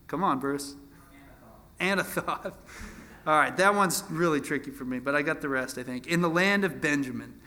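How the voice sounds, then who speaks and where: Man, twenties, higher-than-average pitch, informal preacher, Bible teaching, conversational, self-deprecating, halting restarts. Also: bright, forceful tone. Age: 20s. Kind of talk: informal Bible teaching sermon with scripture reading.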